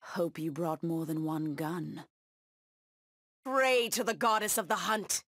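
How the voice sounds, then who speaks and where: A woman's voice: lowish voice, slow, fairly bright tone, no room sound, studio quality. An actress voicing a proud, bloodthirsty cat-woman huntress villain in a video game, with menacing, taunting delivery. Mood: low, contemptuous.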